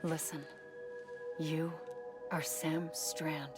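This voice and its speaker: An actress playing a grave, wistful female leader in a dramatic game cutscene, speaking earnestly with measured pauses. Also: low-pitched, level tone. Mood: wistful, tender. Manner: measured, earnest, pausing between phrases. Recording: loud music behind the voice.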